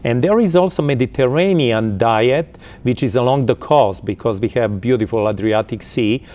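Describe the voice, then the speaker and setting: A man in his fifties from Croatia with a Croatian accent, answering interview questions in a halting way with many fillers. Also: mid-range voice, warm tone. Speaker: interview guest.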